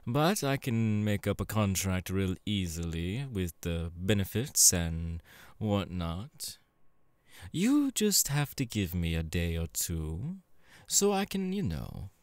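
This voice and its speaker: Slow, sleazy demon boss played by a man. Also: very animated, darkish tone, no room sound, exaggerated voice. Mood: sly, possessive. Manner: suave, drawling, leering, with low chuckles and pet names. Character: sleazy, seductive demon porn director and crime boss, male. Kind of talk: ASMR audio roleplay, in character.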